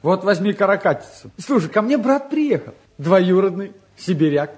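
High-voiced, breezy young city lad. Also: male voice, sing-song, moderate pace, a little mellow. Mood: breezy, chatty. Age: young adult. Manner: casual, flippant, slangy. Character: breezy, restless, irreverent city youth, friendly and bored. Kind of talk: 1950s radio play acting.

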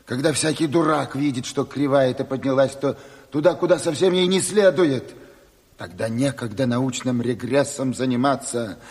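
A man's voice: mid-range voice, moderate pace, darkish tone, close to an everyday voice. An actor playing a blunt, commanding revolutionary committee chairman, brusque and businesslike, in a Soviet radio play. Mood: angry, firm. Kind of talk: 1970s Soviet radio drama acting. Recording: reverberant hall, old radio play recording.

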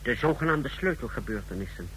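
An actor in a radio play voicing an efficient state official who reports in a formal, precise, clinical manner. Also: male voice, mid-range voice, lively intonation, brisk, fairly bright tone, lightly acted. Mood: clinical, satisfied. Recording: noisy old radio play recording.

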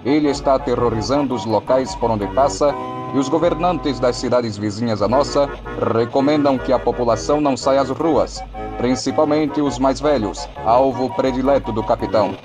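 An actor playing a solemn radio newsreader delivering an urgent dramatized bulletin in a formal way. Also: male voice, medium pitch, lightly acted. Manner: formal, announcing.

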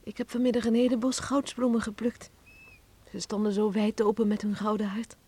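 Actress as breathy, sweet young country girl. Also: mid-range voice, level tone, fairly quick, warm tone, lightly acted. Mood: tender. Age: young adult. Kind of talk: radio drama acting.

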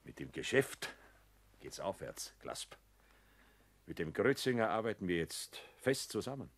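An actor playing an opinionated uncle, hearty about military ideals, in a radio drama. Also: male voice, deep voice, very animated, a little slow, exaggerated voice. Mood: self-assured. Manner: opinionated, instructive. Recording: slightly noisy old radio recording.

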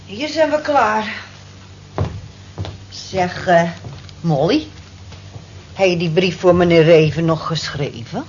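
A woman's voice: lowish voice, takes it slowly, pauses often. An actress playing a nosy, teasing female friend who chides and pries expressively in a radio drama. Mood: prying, teasing. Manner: prying, expressive, chiding. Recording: dull radio recording, faint noise.

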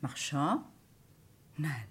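A slightly low, husky female voice plays a doctor being questioned in a German radio crime play. Her delivery is restrained and solemn, with terse, resigned answers. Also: very animated, very slow, a bit bright, full character voice. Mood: guarded.

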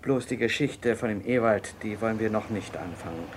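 A man's voice: fairly low pitch, a little fast. A man casually giving directions during a recorded interview, heard from some distance. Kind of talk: casual off-mic talk. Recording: distant voice, clear ambient vehicle noise.

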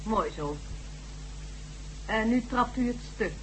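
An actress playing a calm young woman doctor with mind powers, speaking coolly and plainly in an old radio play. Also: on the high side, slow pace, slight background noise, low-quality recording. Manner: cool, calm, matter-of-fact. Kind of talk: 1970s radio drama acting.

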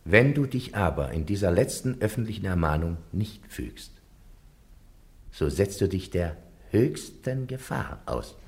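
A gruff trial official barking orders. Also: male voice, deep voice, sing-song, unhurried, darkish tone, exaggerated voice. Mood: formal. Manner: formal, then barking orders. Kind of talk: 1950s-60s German radio drama.

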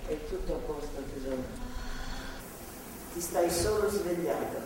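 A distant voice in a very echoey hall, with clear background noise: an older woman captor in a stage play. She soothes and evades in short, measured phrases, calm but firm. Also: low voice. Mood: soothing. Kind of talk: stage drama acting.

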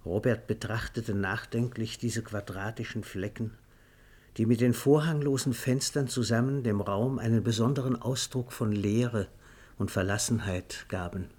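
Husky, low-voiced male radio narrator. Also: moderate pace, darkish tone. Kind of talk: literary radio drama narration.

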